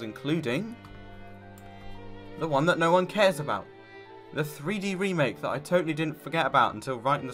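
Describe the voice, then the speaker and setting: A man's voice: on the high side, sharp tone. A man narrating a scripted video game review with joking, sarcastic asides.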